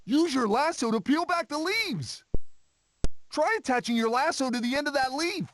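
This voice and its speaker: A woman voicing an excitable young cartoon animal sidekick in a game, exclaiming and urging. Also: high-pitched, normal speed, slight background noise. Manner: exclaiming, urgent, cheering.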